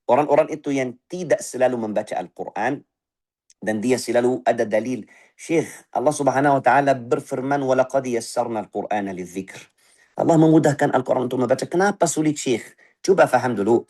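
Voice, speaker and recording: Male recitation teacher, mid pitch, firm corrective delivery, slow drilled syllables. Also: darkish tone. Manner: corrects mistakes by voicing wrong and right pronunciations in turn; firm, repeats short syllables slowly for drilling. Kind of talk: tajwid lesson with spoken recitation examples.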